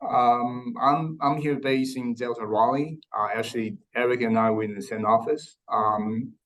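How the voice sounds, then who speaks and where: A man's voice: mid-range voice, fairly even intonation, moderate pace, a bit bright, low-quality recording. A man in sales giving a polite self-introduction on a video call, with many ums. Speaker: sales employee.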